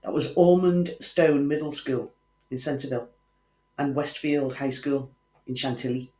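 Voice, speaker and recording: A man's voice: medium pitch, steady tone, a little slow, mellow. A man hosting a true crime channel, telling a case in scripted narration with wry conversational asides to the viewer. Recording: echoey room.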